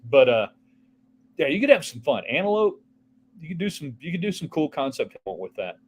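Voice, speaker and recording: A man's voice: a little high, very animated, a little fast. A man hosting a casual solo sports talk show, rambling from topic to topic and answering viewer texts. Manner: rambling, offhand, jumps between topics.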